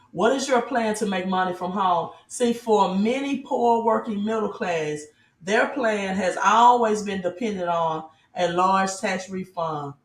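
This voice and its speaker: A woman giving an off-the-cuff motivational sales pitch as a business promoter, emphatic, repeating key phrases and asking rhetorical questions. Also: reverberant. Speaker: business promoter and team leader giving an online presentation.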